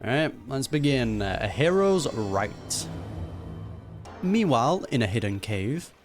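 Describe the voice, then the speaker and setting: A man's voice: mid-range voice, sing-song, measured pace. A man giving casual, wry reaction commentary as he plays a video game. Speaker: gaming reaction streamer. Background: game music.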